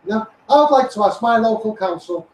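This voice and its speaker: A man's voice: sits high, lively intonation, moderate pace, darkish tone, a little hiss or hum. A man with a Scouse accent on a rambling, impassioned livestream rant, insistently addressing viewers and repeating himself. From Liverpool area, England. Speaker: online campaigner livestreaming. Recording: echoey room, distant microphone.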